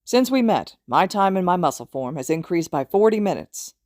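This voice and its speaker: A woman's voice: on the low side, very animated, normal speed, darkish tone, no room sound, clean studio sound. A woman voicing a genial older man, a mentor in an audiobook, hearty and slightly formal. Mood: proud.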